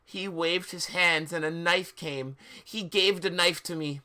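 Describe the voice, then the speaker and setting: Forceful man doing a dramatic livestream reading. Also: on the high side, steady tone, measured pace. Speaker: streamer and host reading fan fiction aloud.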